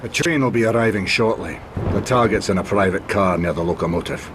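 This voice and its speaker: A man's voice: fairly low pitch, brisk, noisy background, natural voice. An actor playing a businesslike male resistance scout in a video game, giving a report. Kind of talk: video game cutscene acting.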